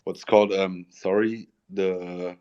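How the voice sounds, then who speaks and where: A man with a German accent, a guest in an online audio room, talking haltingly as he searches for English words. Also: low-pitched, little pitch movement, dark tone, clear, resonant tone. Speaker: guest, project founder. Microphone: online voice call. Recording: muffled online voice call.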